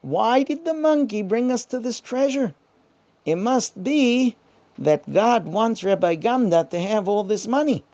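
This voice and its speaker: A woman's voice: fairly high pitch, expressive, unhurried, warm tone, highs cut off, pitched above the performer's own voice. A woman voicing an honest, kind sailor in a children's bedtime story, speaking earnestly and politely. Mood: amazed, earnest.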